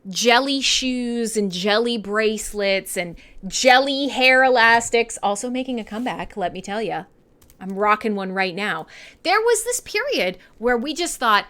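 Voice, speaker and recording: A woman in her thirties with a Canadian accent, hosting a radio show in irreverent, sweary, sarcastic casual chat with listeners. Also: a little high. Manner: sweary, sarcastic, animated, laughs often.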